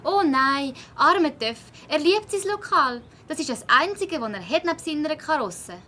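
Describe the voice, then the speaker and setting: A very high, bright-voiced little friend from a children's audio play, acted by a woman. Soft-hearted and sympathetic, over some ambient noise. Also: expressive, normal speed. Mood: pitying.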